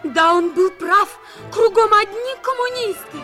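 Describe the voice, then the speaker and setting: A woman's voice: very high-pitched, measured pace, pushed and bright, heavily acted. A woman playing an anxious, earnest young French boy in a 1950s radio play. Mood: distressed. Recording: vintage radio drama with music underneath.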